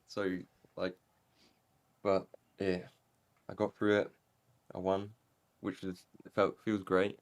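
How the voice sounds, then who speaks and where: A young man from Angola, interviewed after winning a sim race, talking casually with lots of fillers. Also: on the low side. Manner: relaxed, rambling with fillers. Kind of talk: casual post-race interview over voice chat.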